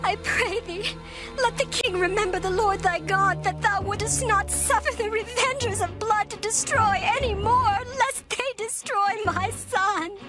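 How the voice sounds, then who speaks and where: A woman's voice: very high-pitched, measured pace, music in the background. An actress playing a distressed widow beseeching a king in a theatrical dramatized scripture reading. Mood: distressed pleading. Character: pleading, distressed widow woman petitioning a king. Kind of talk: dramatized Bible audio acting.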